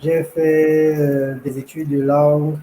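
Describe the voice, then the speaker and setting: A young man from Cameroon, a language school founder, telling his story in an interview, measured and hesitant with many fillers. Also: on the high side, flat delivery. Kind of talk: interview, personal account.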